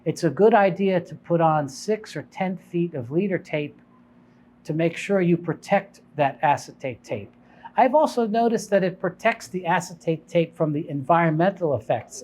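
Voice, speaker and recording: Man giving informal, explanatory product advice. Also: fairly high pitch. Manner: conversational, unscripted, explanatory. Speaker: shop owner presenting product advice.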